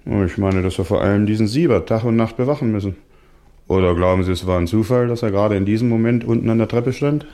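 Mellow, deferential junior detective played by a man. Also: fairly low pitch, quick pace, close to an everyday voice. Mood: earnest, reasoning. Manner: earnest, respectful, reasoning aloud. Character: eager, deferential male junior police detective. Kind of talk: scripted German radio crime drama.

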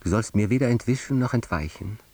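An actor in a radio drama playing a solemn chanter, reciting an old charm in a deliberate, commanding way. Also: male voice, fairly low pitch, mellow.

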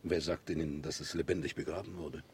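A grave royal prosecutor in a German radio drama, with a very deep voice. He speaks formally and guardedly, with an anxious, defensive air. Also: fairly even intonation, a little fast, a little mellow, slight background noise. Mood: guarded, uneasy.

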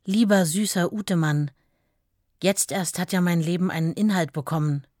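An actress playing a tender, adoring fiancée in a radio play, reading love letters aloud in an earnest, simple way. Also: female voice, on the low side, moderate pace, a little mellow, no room sound, studio quality, close to an everyday voice. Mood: earnest devotion.

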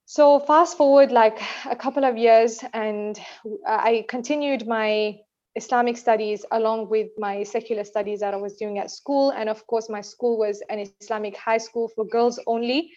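A woman's voice: on the high side, steady tone, moderate pace, a little mellow. A young woman co-hosting a podcast, telling her story in a casual, chatty way, full of fillers and "you know what I mean". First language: not Urdu. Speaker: podcast co-host. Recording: dull lo-fi podcast audio.

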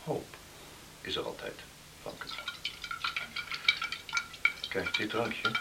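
An actor in a Dutch radio play playing a kindly, practical village doctor with a measured, reassuring manner. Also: male voice, lowish voice, big pitch swings, vocal fry, exaggerated voice. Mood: calm, reassuring. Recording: noisy old radio play recording.

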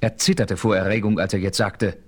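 An actor as a composed western adventurer, telling his own story in a German radio play with measured narration. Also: fairly low pitch, steady tone, breathy edge. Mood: composed, narrating. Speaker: first-person narrator.

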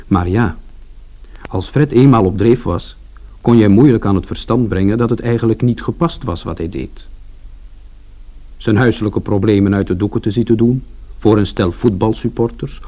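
An actor as mellow-voiced brother narrating a family tale. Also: male voice, lowish voice, lightly acted. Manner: measured, storytelling. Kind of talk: scripted radio drama narration.

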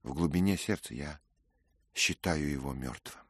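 A man's voice: a bass voice, normal speed, slightly rough, dry recording, clean studio sound. A male reader voicing an earnest young squire confessing his mistakes. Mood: remorseful. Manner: earnest, pleading. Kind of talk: audiobook character reading.

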